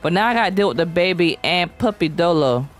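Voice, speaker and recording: A woman's voice: on the low side, expressive, a little fast. A young woman streaming a game, chatting casually and reading chat aloud in slangy, playful talk. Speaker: VTuber streamer. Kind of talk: casual live-stream chat while gaming.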